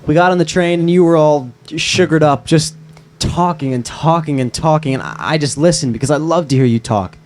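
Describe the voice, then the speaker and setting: A man reading the part of a calm, teasing young man and close friend at a live screenplay table read. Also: on the high side, brisk, close to an everyday voice. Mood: tender.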